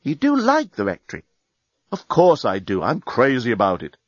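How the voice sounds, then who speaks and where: A man voicing an excitable, sharp-tongued woman in a sci-fi audiobook, full of retorts and exclamations. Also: medium pitch, normal speed, dry recording, dull audio. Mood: testy.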